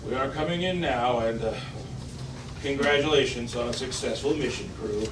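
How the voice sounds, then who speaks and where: A man's voice: mid-range voice, moderate pace, natural voice. A man playing an upbeat space-crew officer and announcer at an amateur table read. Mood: businesslike. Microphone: far camera across the table. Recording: distant, echoey, noisy restaurant room.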